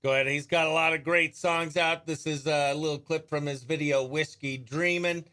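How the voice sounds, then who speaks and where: A man hosting a radio show in a breezy, excitable way, chatting and calling out greetings to viewers. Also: a little high, moderate pace, clear, resonant tone. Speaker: radio host.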